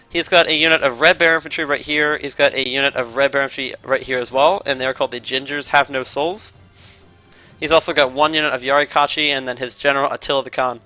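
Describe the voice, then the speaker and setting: Bright, clear-voiced man, slightly scratchy. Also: mid-range voice. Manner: upbeat, brisk play-by-play explaining. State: recovering from illness, voice a bit scratchy. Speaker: gaming commentator. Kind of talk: commentary on a strategy game replay.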